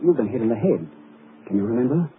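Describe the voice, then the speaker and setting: An actor playing a grave, measured doctor explaining a dire situation in a 1940s radio drama. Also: male voice, mid-range voice, expressive, lightly acted. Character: grave middle-aged male doctor. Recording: tinny old radio broadcast with hiss.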